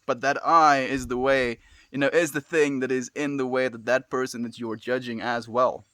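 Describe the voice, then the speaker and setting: A man from South Africa with a South African accent, hosting a live stream, chatting with viewers in a relaxed, musing way with soft laughs. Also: mid-range voice, moderate pace, no room sound. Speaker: livestream host. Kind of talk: casual live-stream chat reading viewer comments.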